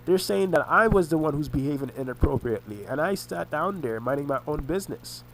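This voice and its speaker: A man recounting family arguments in a rambling monologue, halting and often restarting his words, his voice congested and his throat sore. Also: on the high side, expressive, slight background noise, low-quality recording. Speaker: vlogger recounting family conflicts. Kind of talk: rambling personal monologue over a screen recording.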